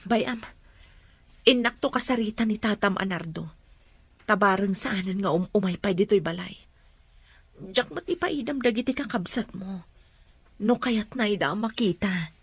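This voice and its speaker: A woman's voice: mid-range voice, animated, normal speed, long pauses, slightly rough, dry recording. A woman playing a protective, reassuring mother in a radio drama. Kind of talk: Ilocano radio drama acting.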